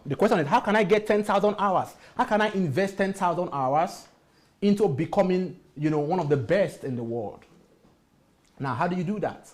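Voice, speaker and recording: A man's voice: sits high, animated, moderate pace. Guy teaching a workshop, punchy and repetitive, keeps asking the room questions. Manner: emphatic, repeats key phrases, asks the audience rhetorical questions. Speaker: workshop speaker. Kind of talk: motivational workshop talk.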